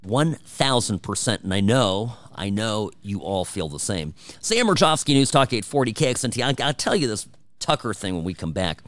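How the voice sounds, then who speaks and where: A man's voice: on the low side, sing-song, moderate pace, no room sound. A man hosting a talk radio show, delivering an opinionated, emphatic and conversational monologue. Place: radio studio.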